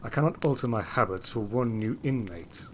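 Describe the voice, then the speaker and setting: A man acts out an abrupt, imperious master of a gothic house, questioning and ordering in a mid-pitched voice. It is period audio drama, with clear background noise behind him. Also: normal speed, lightly acted. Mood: commanding. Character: brusque, commanding gentleman master of a gothic manor.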